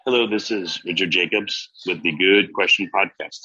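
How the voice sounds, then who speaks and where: A man's voice: low voice, moderate pace, noisy background. A man hosting a podcast, chatting casually and musing aloud with his guest. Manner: conversational, loose and musing. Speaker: podcast host. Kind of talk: casual interview chat. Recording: dry, slightly dull sound.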